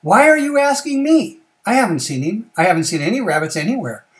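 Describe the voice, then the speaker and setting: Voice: mid-range voice, sing-song, a little fast, pitched above the performer's own voice, lightly acted. A reader voicing an evasive storybook bear who protests innocence with emphatic, defensive denials.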